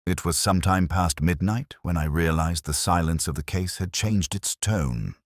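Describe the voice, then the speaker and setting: A man reading scripted first-person detective narration in a measured, solemn and literary manner. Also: low-pitched, dry recording, clean studio sound.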